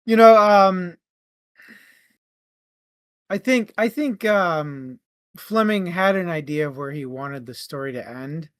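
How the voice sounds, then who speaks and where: A man's voice: high-pitched, lively intonation, slow pace, pauses often, fairly bright tone, clear, resonant tone, no echo. A man co-hosting a book club, talking casually through a book and animatedly retelling parts of it.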